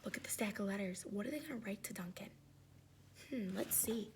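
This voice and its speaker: A woman's voice: medium pitch, fairly quick, a little mellow, slight background noise. A woman teacher reading a children's storybook aloud, with sing-song chatty asides and questions to her young listeners.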